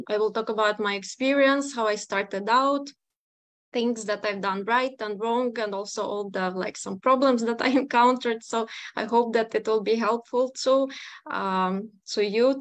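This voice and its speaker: A woman's voice: on the high side, no room sound. A woman in her thirties from Ukraine with a Ukrainian accent, giving a chatty webinar talk as guest speaker, full of "like" and "um". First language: not English. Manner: chatty, many fillers, frequent "like".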